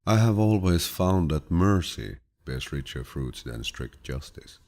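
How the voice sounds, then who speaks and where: Male audiobook narrator, extremely low and husky, soothing grandfatherly delivery, measured but with expressive swings in pitch. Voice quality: deep, low, gravelly, husky voice. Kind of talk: calm, measured audiobook reading of quotations.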